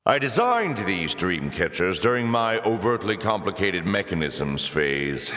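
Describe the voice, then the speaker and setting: An actor voicing a sardonic, pompous demon lord in a video game, taunting with dry, theatrical sarcasm. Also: male voice, low voice, big pitch swings, unhurried, a bit bright, heavily acted. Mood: wry, haughty. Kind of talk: scripted video game character voice lines. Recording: echoey hall with long reverb.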